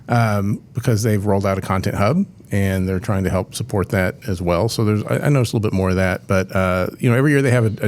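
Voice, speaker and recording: A man's voice: fairly low pitch, a little mellow. A man co-hosting a podcast, explaining things in casual chat with frequent restarts. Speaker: podcast co-host.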